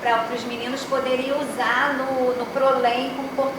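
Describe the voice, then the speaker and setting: A woman's voice: high voice, flat delivery, a little slow. A Brazilian woman speaking at a roundtable, chatting casually with colleagues and rambling through many restarts and tag questions. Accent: Brazilian Portuguese. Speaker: panelist at an academic roundtable. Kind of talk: casual chat among colleagues. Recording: very echoey room with background noise.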